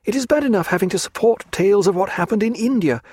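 A proud, desperate young aristocratic woman, put on by a man reading a story, her voice lifted high and swinging widely as she pleads. The reading is dry and close, with no room around it. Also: expressive, quick pace, a little mellow, breathy edge, dry recording. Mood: pleading. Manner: imploring, high-strung, upper-class.

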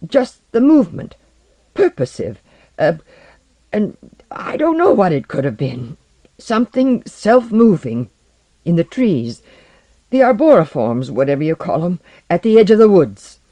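A narrator voicing a frightened, rattled male scientist who talks in breathless, halting, emphatic bursts. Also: medium pitch, sing-song, gravelly, dark tone, husky, full character voice. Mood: shaken.

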